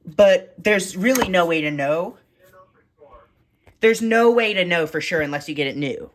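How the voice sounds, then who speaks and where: A woman's voice: on the low side, slight background noise. A woman giving a casual, blunt, informal show-and-tell tour of a collection as a vlogger. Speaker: vlogger showing a radio collection.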